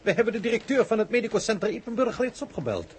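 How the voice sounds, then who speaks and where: High-voiced, pedantic police inspector. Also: male voice, very animated, very fast. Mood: unctuous, lecturing. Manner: long-winded, formal lecturing. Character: pedantic, unctuously polite male road police inspector. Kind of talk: 1960s Dutch radio drama acting. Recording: noisy old radio drama tape.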